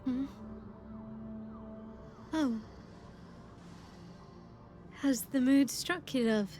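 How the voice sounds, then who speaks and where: Game casting: tender but guarded female cleric, dry wit, soft-spoken, unhurried, lightly raised pitch. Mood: sleepy, tender. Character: guarded young half-elf cleric woman, tender, wry, resolute. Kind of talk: video game dialogue acting. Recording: music behind the voice.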